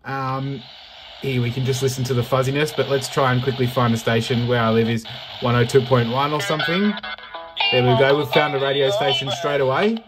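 A man giving a casual, loosely worded product review in a slightly husky voice. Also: medium pitch, fairly even intonation, brisk, music in the background, rough recording.

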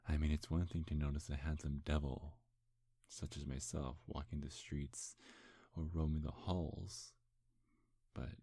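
A man playing a smug, seductive demon in an intimate ASMR roleplay, breathy and husky, teasing in a hushed, hypnotic way. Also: extremely low pitch, no echo, clean studio sound, full character voice. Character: seductive, smug male demon posing as a handsome gentleman.

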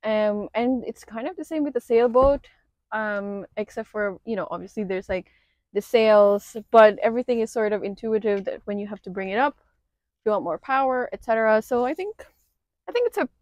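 A woman's voice: a little high, normal speed, darkish tone, no echo. A woman chatting casually for a vlog, recorded outdoors on a sailboat, laughing often and using many fillers. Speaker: vlogger. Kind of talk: casual chat.

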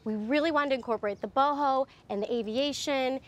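A young woman, a DIY designer on a lifestyle show, chatting brightly through a how-to demonstration on an outdoor backyard set with a lapel mic. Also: sits high, a little fast, highs cut off. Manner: bubbly, chatty, laughs often.

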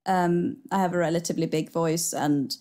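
A woman's voice: fairly low pitch, moderate pace. Swedish-born woman singer chatting casually. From Sweden. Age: young adult. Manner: relaxed, rambling with many fillers. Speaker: podcast co-host, singer. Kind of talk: casual chat. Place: small room at home. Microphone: headphones with studio mic on video call.

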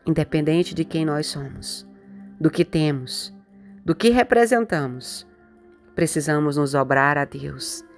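A middle-aged woman bishop with a Brazilian Portuguese accent, preaching a devotional in a slow, earnest, pleading way, as a voice-over with soft music beneath. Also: deep voice, animated.

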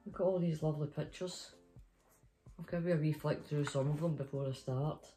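A woman's voice: deep voice, steady tone, fairly quick, dark tone, faint music behind. A middle-aged or older Scottish woman, a grandmother, chatting playfully and reading a story to children in a bedroom. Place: small bedroom, indoors.

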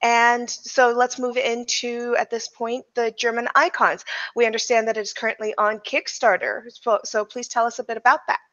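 A woman's voice: fairly high pitch, steady tone, normal speed, a bit bright. A young woman co-hosting a podcast over a headset video call with dull audio, making announcements and handing over to guests. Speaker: podcast co-host. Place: small home room.

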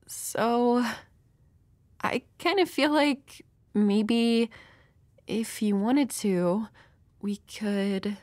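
A woman's voice: fairly high pitch, a little mellow, dry recording. A woman playing a shy, flustered young woman next door, nervous and hesitant, in an intimate romantic audio roleplay. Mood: embarrassed, hesitant. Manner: nervous, hesitant, stammering, giggly.

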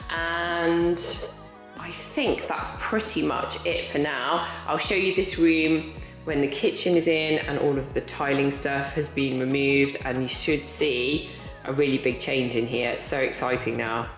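A woman's voice: sits low, steady tone, faint music behind. A woman in her thirties renovating her home, talking candidly and matter-of-factly to camera. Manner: candid, matter-of-fact, brisk. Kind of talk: casual talk to camera. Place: large house under renovation, bare rooms indoors. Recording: echoey empty rooms.

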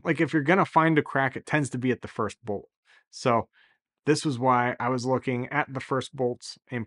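Relaxed man chatting about boat repairs. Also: mid-range voice. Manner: relaxed, enthusiastic, many "really" and "uh" fillers. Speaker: sailing vlogger explaining boat repairs. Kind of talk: casual explanatory talk to camera. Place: small office indoors.

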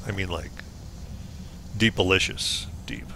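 A man with a hoarse voice giving relaxed, playful let's play commentary as he explores a game. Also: low voice, unhurried, slight background noise. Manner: relaxed, musing, playful asides. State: hoarse, losing his voice. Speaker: game streamer.

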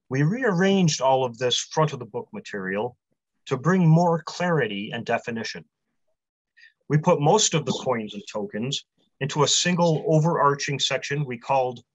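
A man's voice: mid-range voice, sing-song, no echo. Man reading a prepared talk over webcam. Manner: measured, list-heavy delivery. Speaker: publisher presenting a convention talk. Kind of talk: prepared talk read aloud. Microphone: webcam on a video call. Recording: dry, slightly muffled webcam audio.

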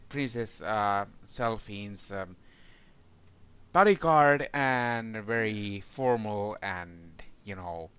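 A man casually directing voice actors, with a hesitant, halting way of talking. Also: fairly low pitch, a bit bright, clear, resonant tone, no room sound. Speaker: fandub director.

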